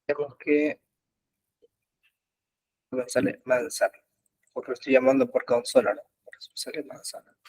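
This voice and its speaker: A man teaching an online web development class, explaining code slowly with frequent pauses and fillers. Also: mid-range voice, flat delivery, a little mellow, no room sound, low-quality recording. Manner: slow, halting explanation with many pauses and fillers. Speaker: programming instructor. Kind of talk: live online coding class. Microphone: computer mic in an online class.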